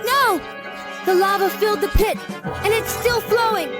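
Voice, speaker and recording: A woman's voice: very high voice, a little slow. A woman voicing an eager, clever little child helper in a scripted children's cartoon. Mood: concerned. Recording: loud music behind the voice. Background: loud music.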